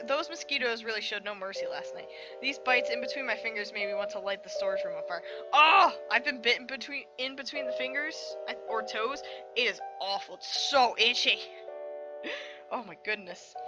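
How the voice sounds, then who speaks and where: A woman's voice: medium pitch, poor audio. A woman hosting a game preview, reading visual novel text aloud expressively and adding chatty, reactive asides. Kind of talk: narration of visual novel text with casual commentary. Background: game music.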